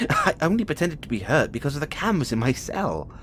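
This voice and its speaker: A man's voice: fairly high pitch, sing-song, fairly quick, fairly bright tone, a little hiss or hum. A man voicing a playful, flirtatious male space demon who teases the listener in an audio roleplay. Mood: teasing, conspiratorial. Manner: playful, teasing, giggles often.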